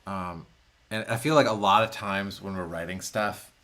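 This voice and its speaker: A man in his thirties, co-hosting a casual chat in a soft-spoken, rambling way that often trails off. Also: sits low, steady tone, moderate pace. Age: 30s. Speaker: co-host.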